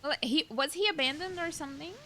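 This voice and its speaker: A woman's voice: high-pitched, fast talker, pushed and bright, slight background noise. A woman giving casual reaction commentary as she plays a video game. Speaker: let's-play streamer.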